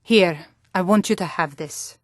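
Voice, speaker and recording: A woman's voice: lowish voice, a little slow, no echo, studio quality, close to an everyday voice. A woman voicing a proud, blunt adult Nordic townswoman in a fantasy video game, with earnest, dramatic delivery. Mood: regretful. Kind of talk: scripted fantasy game dialogue.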